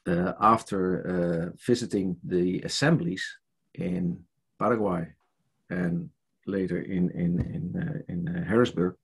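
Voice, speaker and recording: A man's voice: low voice, fairly even intonation, slow pace, creaky, husky. An older man from the Netherlands with a Dutch accent, speaking hesitantly with many fillers and restarts as an interviewee on a video call from his home study. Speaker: church leader interviewee. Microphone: headset on a video call.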